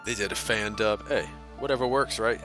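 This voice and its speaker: A man's voice: fairly low pitch, normal speed, music in the background. A man chatting casually with his live-stream chat while playing a game, talkative and self-deprecating. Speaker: speedrunner streamer.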